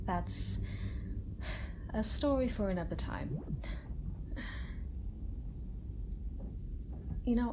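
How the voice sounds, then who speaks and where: A woman playing a kind research doctor in a soft-spoken ASMR roleplay, talking gently, hesitantly and reassuringly to an alien patient. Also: lowish voice. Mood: quietly disapproving. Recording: steady ambient noise bed. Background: sci-fi room ambience.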